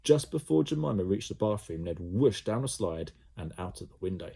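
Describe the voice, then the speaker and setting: A male primary school teacher reading a children's story aloud, animated and full of sound effects. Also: deep voice, brisk, creaky, slightly rough.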